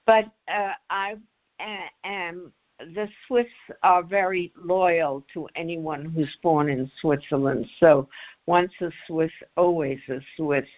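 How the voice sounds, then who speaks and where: An older woman from the United States speaking as an interview guest, pausing often mid-phrase as she recollects and explains. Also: on the low side, fairly even intonation. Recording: slightly dull, lo-fi audio.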